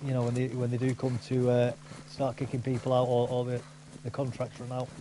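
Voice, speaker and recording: A man's voice: medium pitch, monotone, brisk, warm tone, a little hiss or hum. A man chatting casually with a friend while gaming, rambling and opinionated with many restarts. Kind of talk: casual chat over gameplay.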